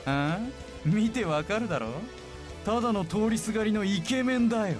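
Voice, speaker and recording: An actor playing a brash, cocky young brawler in a visual novel, with rough, slangy, taunting speech. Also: male voice, high-pitched, quick pace, close to an everyday voice. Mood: cocky, flippant. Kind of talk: Japanese visual novel voice acting. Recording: music behind the voice.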